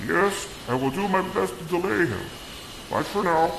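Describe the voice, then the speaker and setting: A man putting on a young informer with a high, lively voice, eager and wheedling, drawn out at an unhurried pace in an echoey room with clear hiss behind. A fan radio-play snitch angling for a reward.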